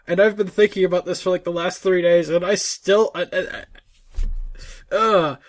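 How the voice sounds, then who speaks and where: A man co-hosting a casual gaming podcast, thinking aloud haltingly and repeating his words. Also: sits high, steady tone. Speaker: podcast co-host.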